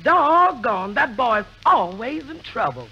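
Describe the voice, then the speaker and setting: A folksy helper with a high, gravelly voice, drawling each word out very slowly. The tone is bright and thin, with faint hiss from the old broadcast. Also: male voice, very animated, muffled recording, full character voice. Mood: fussing. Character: gravelly-voiced household helper taking messages. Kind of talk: 1940s radio comedy acting.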